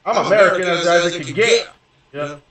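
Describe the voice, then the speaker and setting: A young man with a somewhat high voice tells a long personal story and talks on casually, swearing and circling back with "you know what I mean." He sits well back from the mic, and the room rings with heavy echo. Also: a little fast, a bit bright. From Houston, Texas. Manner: profane, rambling, many fillers and restarts. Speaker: gaming streamer. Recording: very echoey room, distant from mic.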